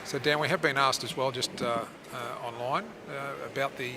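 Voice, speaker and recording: A man co-hosts live sports commentary, chatting easily and feeding questions to his partner. His pitch is ordinary and middling. Steady background noise sits under the live stream. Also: normal speed, crowd noise. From Australia. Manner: conversational, prompts co-host with questions.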